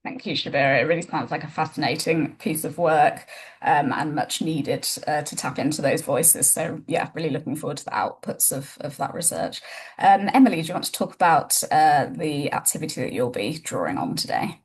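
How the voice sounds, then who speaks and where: A woman's voice: sits low, expressive, normal speed, slightly rough. A woman moderating a webinar panel, passing the floor to speakers with brief, polite thanks. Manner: brief, polite hand-offs.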